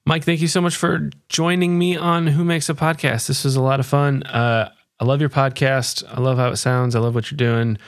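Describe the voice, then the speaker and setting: A man's voice: mid-range voice, steady tone, normal speed, few pauses. A man hosting an interview podcast in a conversational, affirming and appreciative way.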